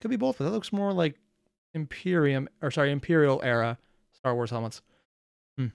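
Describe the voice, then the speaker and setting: A man's voice: fairly high pitch, sing-song, normal speed, gravelly. A man hosting a reaction video, giving off-the-cuff, sarcastic and exasperated commentary full of rambling asides.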